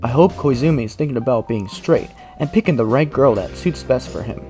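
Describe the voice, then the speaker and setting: A woman's voice: very deep voice, animated, fairly quick, over music. A woman voicing a dopey, awkward teenage schoolboy with slangy talk in an anime parody dub. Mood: uneasy.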